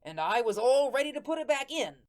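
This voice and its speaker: A woman's voice: high voice, big pitch swings, brisk. A woman playing a wry, good-natured ghost who narrates a radio play in slangy, streetwise, colloquial style. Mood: earnest, persuasive. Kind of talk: first-person radio play storytelling.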